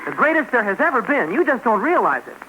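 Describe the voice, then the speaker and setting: A man's voice: extremely high pitch, very animated, fast, very noisy. A young man, a singer, eagerly fawning in a 1940s radio comedy sketch. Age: young adult.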